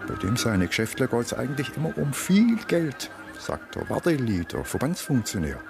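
An actor playing a blunt, inquisitive police inspector in a radio drama, questioning and musing. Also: male voice, mid-range voice, big pitch swings, moderate pace, creaky, over music.

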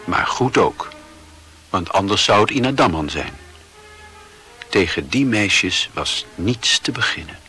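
A man's voice: lowish voice, animated, unhurried, long pauses, bright, forceful tone, faint music behind. A man reading scripted literary narration as the narrator of a radio play.

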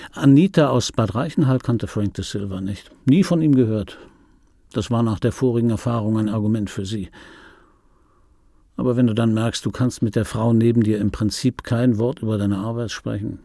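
An actor playing a middle-aged film dubbing actor in a radio play, reminiscing in a wry, pedantic, digressive way with a gravelly, husky voice. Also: male voice, on the low side, steady tone, moderate pace, warm tone, dry recording, studio quality. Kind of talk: radio play monologue, confiding first-person reminiscence. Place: dry radio drama studio.

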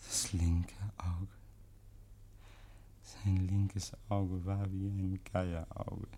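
An actor playing a deranged, guilt-ridden murderer in 1950s German radio drama, halting and repeating himself, given to breathless whispers and shrieks. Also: male voice, low voice, little pitch movement, warm tone. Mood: haunted, insistent. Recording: noisy 1950s radio recording.

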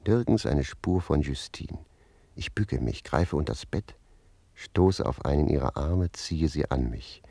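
A man's voice: extremely low pitch, lively intonation, unhurried, creaky, dark tone, no echo, full character voice. An actor playing a wry, talkative manservant who spins stories for his master in a German radio play. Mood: lively narrating. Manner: savouring, theatrical storyteller.

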